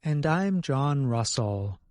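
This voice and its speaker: Male lesson co-presenter, scripted, drawn-out careful pacing, no room echo. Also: mid-range voice, very animated, a little mellow, studio quality, faint music behind. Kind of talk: scripted example reading.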